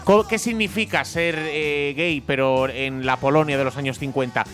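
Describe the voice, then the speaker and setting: A man's voice: a little high, normal speed, a bit bright. A man hosting a comedy radio show in a studio, teasing his guests. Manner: playful, teasing. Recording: radio studio with music bed.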